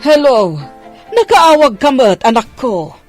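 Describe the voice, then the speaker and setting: A woman's voice: high voice, sing-song, slow, soft background music, full character voice. An actress with a Filipino accent playing a grasping, scolding older mother-in-law in a radio drama, nagging and exclamatory. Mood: gushing.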